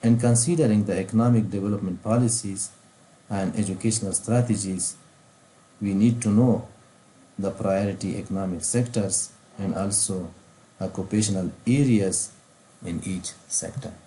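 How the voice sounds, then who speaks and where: Low, mellow Afghan-accented man lecturing. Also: low-quality recording. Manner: formal, deliberate, phrase-by-phrase reading. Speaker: vocational training specialist. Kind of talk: scripted lecture narration over slides. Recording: faint background noise.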